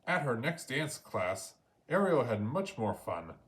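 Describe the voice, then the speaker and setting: A man's voice: mid-range voice, sing-song, unhurried. A man narrating a children's story aloud as a storyteller.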